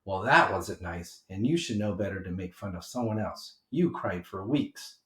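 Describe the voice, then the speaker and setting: A kind, confident little schoolgirl from a storybook, read by a man, speaks earnestly and plainly. Each point comes with clear emphasis. The homemade recording sounds echoey. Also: low voice, animated, moderate pace, fairly bright tone. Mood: stern.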